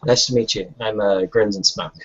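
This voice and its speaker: A man's voice: fairly low pitch, steady tone, normal speed, breathy edge, no room sound. A man playing a tabletop roleplaying game, speaking casually in character over a lo-fi voice chat. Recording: dull lo-fi voice chat, faint noise, clicks.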